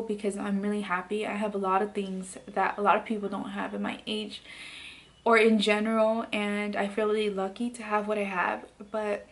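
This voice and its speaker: A woman in her late twenties speaks straight to the camera from her bedroom, in a soft, hesitant vlog voice full of fillers. Her pitch sits mid-range and barely moves. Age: late 20s. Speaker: beauty vlogger. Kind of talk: candid personal vlog. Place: bedroom, indoors.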